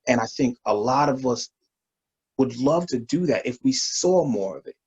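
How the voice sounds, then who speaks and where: A man from Albany, New York, a veteran guest telling relaxed stories in casual interview talk. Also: mid-range voice, normal speed, husky. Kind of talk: casual storytelling. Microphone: phone on speaker. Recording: muffled speakerphone call.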